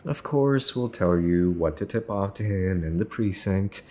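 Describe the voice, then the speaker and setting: A man playing a smug mafia boss's son in an ASMR roleplay, teasing and condescending as he makes cool, possessive threats with pauses for effect. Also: deep voice, animated, a little mellow, a little hiss or hum. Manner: teasing, smooth-talking, condescending, pausing for effect. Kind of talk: ASMR boyfriend roleplay, scripted monologue to listener.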